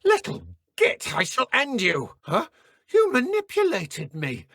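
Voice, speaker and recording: An actor voicing a fierce, indignant dark wizard villain in a video game, exclaiming with theatrical scorn. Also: sits high, wildly swooping pitch, unhurried, husky, no room sound, studio quality, heavily acted. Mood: outraged. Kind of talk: video game combat voice lines.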